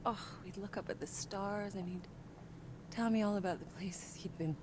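A woman's voice: medium pitch, normal speed, noisy background, close to an everyday voice. An actress playing a playful, loving mother who teases her thirteen-year-old son. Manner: playful, teasing. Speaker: game character.

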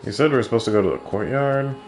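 A man's voice: medium pitch, lively intonation, very fast, a little mellow, slight background noise. A man casually commenting while playing a video game, joking and reading the game text aloud. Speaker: gameplay streamer. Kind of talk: casual let's-play commentary. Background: game music.

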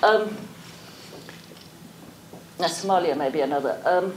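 A woman's voice: lowish voice, a little slow, darkish tone, reverberant, a little hiss or hum, rough recording. A middle-aged woman academic answering panel questions, hesitant, with frequent ums and self-corrections. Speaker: academic witness. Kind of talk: panel discussion. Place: lecture theatre.

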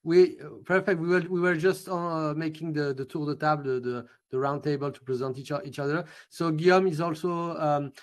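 Middle-aged host with a higher voice. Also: male voice. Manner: hesitant, repeats words. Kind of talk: interview host introductions. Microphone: video call.

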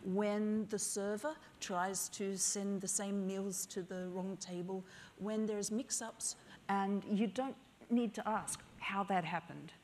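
A woman's voice: medium pitch, steady tone, slow, darkish tone, slight background noise. A woman speaking as a panelist on a stage through a lapel mic, assured and pointed. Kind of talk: panel discussion. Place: stage in front of a backdrop.

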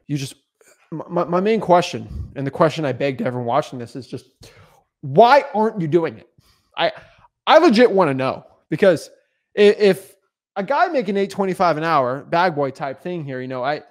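A young man in his twenties giving an unscripted motivational talk as a real estate coach, intense and repetitive, recorded on a podcast mic in a small home studio. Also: a little high. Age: 20s. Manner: intense, repeats himself, frequent "right?" and "like". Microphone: podcast mic on boom arm in front.

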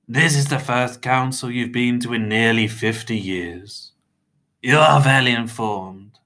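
Proud, humourless male vampire , acted by a man for a storybook; stiff, curt, gruff formality with a hard, bright edge. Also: medium pitch, unhurried, pitched above the performer's own voice, lightly acted. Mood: stiff, guarded.

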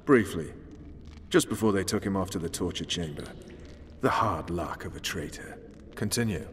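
An actor playing a stern, world-weary special forces commander in a video game, clipped, commanding and menacing. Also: male voice, low-pitched, expressive, moderate pace, in a large hall, a little hiss or hum. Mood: probing. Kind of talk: dramatic video game acting.

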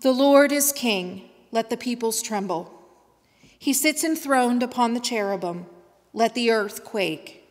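A middle-aged woman reading scripture at a church lectern in a slow, measured way. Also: a little high. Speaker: scripture reader. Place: large church sanctuary. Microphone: lectern microphone. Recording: long church reverb.

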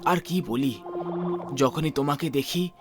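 A man playing an earnest, sentimental young suitor in animated story dialogue, speaking haltingly. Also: fairly high pitch, background noise. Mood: lovestruck.

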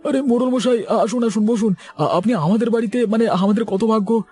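A man performs a humble village farmer from a cartoon, deferential to his betters. The voice is very high for a man yet soft-toned and dark, over faint music. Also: brisk, breathy edge. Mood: flustered, welcoming. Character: humble, deferential poor gourd farmer husband. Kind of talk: cartoon voice acting.

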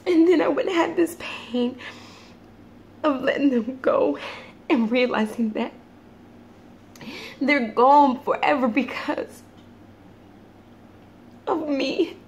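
A woman performing a dramatic monologue as a grieving, unhinged mother tormented by guilt, halting and anguished. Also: sits high, a little hiss or hum.

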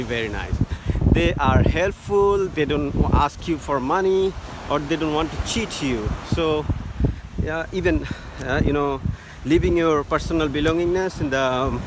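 A man giving halting travel tips from a beach, with many fillers and restarts. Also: fairly high pitch. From Bangladesh. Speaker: travel vlogger. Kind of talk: casual travel tips to camera. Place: outdoors on a sunny beach by the sea. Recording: loud wind noise on phone mic.

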